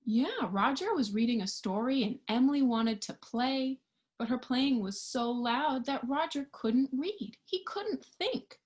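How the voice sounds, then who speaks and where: A woman reading a picture book aloud to young children, expressively, pausing to put questions to her listeners. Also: high voice, breathy edge. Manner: expressive, animated, with hushed asides and questions to listeners. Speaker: storybook reader.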